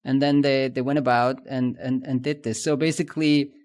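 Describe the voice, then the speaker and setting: A man with a Swiss German accent talks shop in a relaxed podcast conversation. He thinks out loud and often pauses on "uh" and "um" before going on. His voice sits at a mid pitch. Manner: thinks aloud, many fillers and restarts. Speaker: podcast co-host. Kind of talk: casual industry chat.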